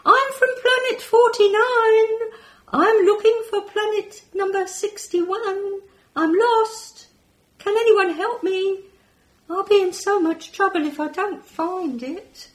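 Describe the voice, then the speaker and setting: A woman voicing a lost, playful alien from another planet in an excited, sing-song way, inside a story she reads aloud. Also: very high voice, slow pace, voice pushed up. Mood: pleading. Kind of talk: acting out a voice within a read story.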